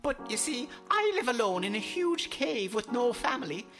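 A little Irish leprechaun storyteller in a children's cartoon comedy. The voice is high and swoops around theatrically as it rambles on. Music plays clearly behind it. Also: female voice, sing-song, normal speed. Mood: mock gloomy. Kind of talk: children's comedy character acting.

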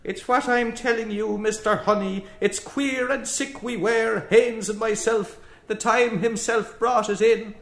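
A man's voice: mid-range voice, big pitch swings, moderate pace, close to an everyday voice. An actor playing an exuberant, mocking young man who declaims in mock-grand style. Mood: mock wailing. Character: mocking, boisterous young man, theatrical jester. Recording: roomy echo.

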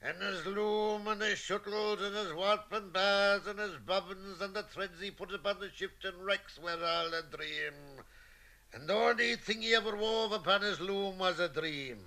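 A man's voice: fairly high pitch, vocal fry, bright, forceful tone. An actor playing an old Irish father in a radio play, speaking in an impassioned, declamatory, repetitive way. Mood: insistent, rapturous.

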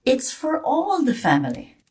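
A woman's voice: sits high, huge pitch swings, unhurried, darkish tone, distant mic, heavily acted. A woman playing a kindly, firm old grandmother with a homely, emphatic manner in a children's story. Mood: gentle, earnest. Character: kindly, bustling, firm old Irish granny.

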